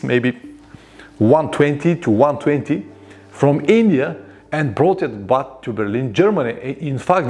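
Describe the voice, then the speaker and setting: Bulgarian-accented man chatting in his garage. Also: on the high side. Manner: animated, gestures a lot, chatty with non-native grammar. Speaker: motorcycle travel vlogger. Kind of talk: casual storytelling to camera. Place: home motorcycle garage workshop, indoors. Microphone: lapel mic.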